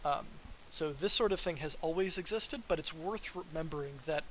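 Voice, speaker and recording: Male software presenter, forceful bright tone, informal and self-deprecating, faint hiss on the recording. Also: on the high side, normal speed, rough recording. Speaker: software developer presenting a live demo. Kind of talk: informal technical livestream presentation.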